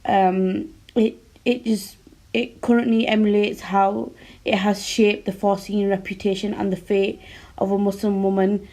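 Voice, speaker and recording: A British-accented woman whose first language is Urdu speaks as a guest artist. Her delivery is measured and formal, the pitch staying fairly flat and even, mid-range for a woman. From United Kingdom. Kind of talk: prepared statement in an interview.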